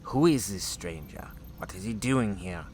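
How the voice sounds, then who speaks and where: A man's voice: sits low, animated, unhurried, darkish tone, slight background noise. A man playing an anxious, self-important chief officer in a sci-fi audio drama, agitated and pompous. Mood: agitated worry. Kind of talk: full-cast sci-fi audio drama acting.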